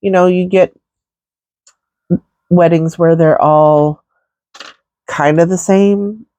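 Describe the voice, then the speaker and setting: A woman's voice: on the low side, steady tone, takes it slowly, warm tone, dry recording. A woman with a stuffy, congested nose chatting casually through a makeup tutorial, rambling with many fillers. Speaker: makeup vlogger.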